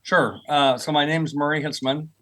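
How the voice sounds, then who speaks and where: A man's voice: fairly high pitch, steady tone, normal speed, sharp tone. An American man in his sixties answering interview questions as an academic guest, explaining things in an informal, conversational way. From United States, rural Oklahoma. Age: 60s. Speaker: guest, earth sciences professor.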